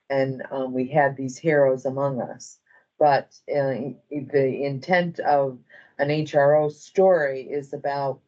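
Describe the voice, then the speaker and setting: A woman speaking as a medical center director, giving an informal, halting and appreciative award speech to a group. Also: a bass voice, a little mellow, dull audio.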